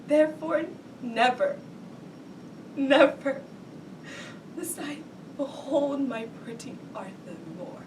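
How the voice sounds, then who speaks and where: A woman performing a grief-stricken noblewoman mother in a Shakespearean stage monologue, with theatrical, drawn-out lamenting. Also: lively intonation. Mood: despairing. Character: grief-stricken noblewoman mother mourning her captive young son. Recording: distant mic, rumbling background noise.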